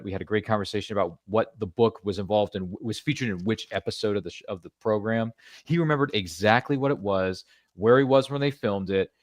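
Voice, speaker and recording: Deep-voiced chatty man hosting a podcast. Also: no room sound, studio quality. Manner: chatty and rambling, with jokey asides. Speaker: podcast host. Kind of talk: casual interview chat.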